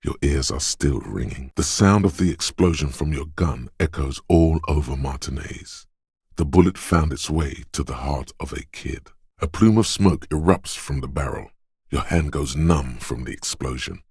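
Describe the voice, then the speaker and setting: A man playing a brooding, trigger-happy inner voice that narrates a video game in a measured, deliberate way. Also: a little mellow, dry recording, clean studio sound, exaggerated voice. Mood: grave, sorrowful. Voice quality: very deep, smooth, resonant. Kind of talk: scripted second-person game narration.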